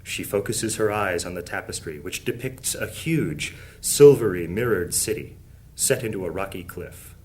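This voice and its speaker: A man narrating a high fantasy audio drama as a solemn, measured storyteller. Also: expressive, darkish tone, a little hiss or hum.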